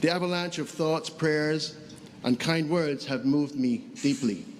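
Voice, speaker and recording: A middle-aged man giving a formal funeral speech, deliberate and pausing often. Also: fairly high pitch, a little hiss or hum. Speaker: son giving funeral tribute. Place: funeral service.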